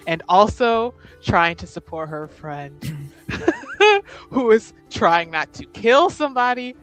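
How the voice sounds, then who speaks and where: Woman chatting on a ttrpg stream, super lively pitch, slow pace, swears a bit. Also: faint music behind, poor audio. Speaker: player in an actual-play game. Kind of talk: casual chat, character introduction.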